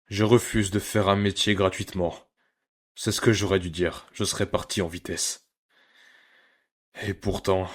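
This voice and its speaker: A man playing a proud, bitter mercenary killer in an anime fan dub, with a brooding, dramatic delivery. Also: low voice.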